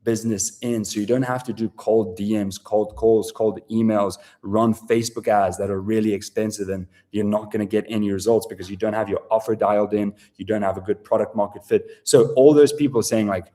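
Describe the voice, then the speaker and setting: A man's voice: fairly low pitch, steady tone, brisk, hardly any pauses, mellow. A man with a South African accent presents a live sales webinar in a casual, profane, filler-heavy style.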